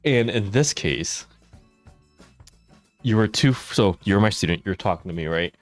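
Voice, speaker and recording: Guy streamer telling stories while gaming, lowish voice that jumps all over, laughs a lot, quiet music underneath. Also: animated, a little slow. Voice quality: naturally loud voice held down for the mic. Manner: chatty, laughs often, reads and answers chat. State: mouth watering after dental work. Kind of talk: casual live-stream storytelling while gaming.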